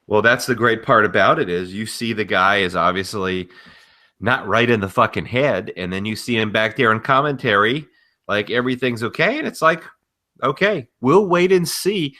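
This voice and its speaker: A man's voice: fairly low pitch, lively intonation, moderate pace, pushed and bright. A man co-hosting a podcast, explaining things in a casual, knowledgeable way. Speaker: podcast co-host.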